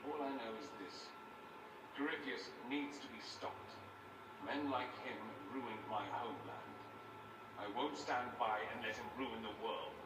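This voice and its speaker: An actor playing a witty, self-assured, sardonic mage in a fantasy video game, chatty and theatrical. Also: male voice, medium pitch, unhurried, natural voice. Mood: grave, wry. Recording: roomy, distant game capture with loud background noise.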